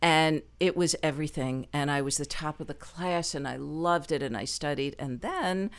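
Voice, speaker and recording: A middle-aged woman with a low speaking voice, a performer telling stories from her life in an interview, lively and quick to laugh. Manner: lively, laughs often, animated asides. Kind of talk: conversational storytelling interview.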